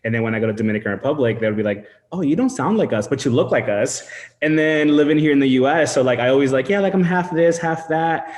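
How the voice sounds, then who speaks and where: A man taking part in an online meetup, speaking in excited, heartfelt bursts. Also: mid-range voice, quick pace, big-room sound. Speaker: meetup participant. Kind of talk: casual group video chat.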